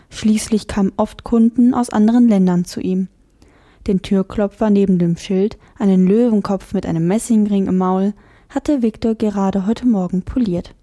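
A woman reading a children's novel aloud as the narrator, expressive and lively. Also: mid-range voice. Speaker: audiobook narrator. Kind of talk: scripted storybook narration.

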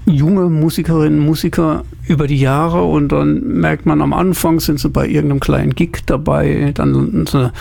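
A man's voice: on the high side, creaky, darkish tone. A man presenting jazz on the radio, chatting casually and thinking aloud with the odd filler.

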